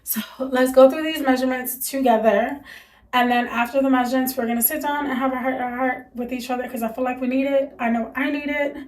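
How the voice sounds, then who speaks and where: A woman's voice: sits high, steady tone, brisk, darkish tone, reverberant. Chatty stuffy-nosed young woman vlogger. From United States. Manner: chatty, candid, many fillers. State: stuffy, congested from allergies. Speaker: vlogger, mother. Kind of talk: casual chat to camera.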